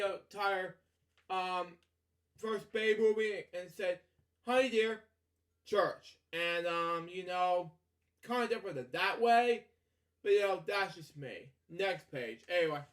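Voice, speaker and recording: A man with a high-pitched voice reads a children's bedtime story at a slow pace. He is halting, stumbles and repeats phrases, and often breaks off into rambling asides with the same small filler phrases. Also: a bit bright. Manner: halting, stumbling over words, repeating himself; frequent filler catchphrases like "okay" and "you know". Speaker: storytelling host.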